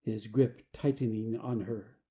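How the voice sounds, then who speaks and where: Very dark, low-toned female narrator. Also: steady tone, measured pace. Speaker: narrator reading stage directions. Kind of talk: scripted narration.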